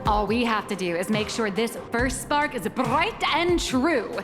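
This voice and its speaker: A woman's voice: a little high, animated, unhurried. An actress playing a confident young woman strategist who talks in an assured, persuasive, reasoning way. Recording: game voice-over with reverb and music.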